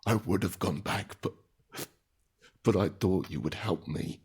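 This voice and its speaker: A low-voiced male survivor carries a slow, halting intensity, weighed down by guilt and grief. The delivery is slightly husky, as in a dramatic audio drama told in first person, with music underneath. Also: heavily acted. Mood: bitter, accusing. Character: grief-stricken guilt-ridden male survivor, narrating his ordeal.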